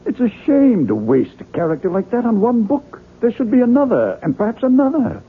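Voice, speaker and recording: An actor playing an avuncular older publisher in a 1970s radio drama, genteel and patronising, with a husky, gravelly voice. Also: male voice, very high voice, wildly swooping pitch, a little fast, narrow, tinny audio, exaggerated voice. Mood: coaxing. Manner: genteel, patronising, theatrically emphatic. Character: avuncular, reasonable older male publisher, mildly exasperated.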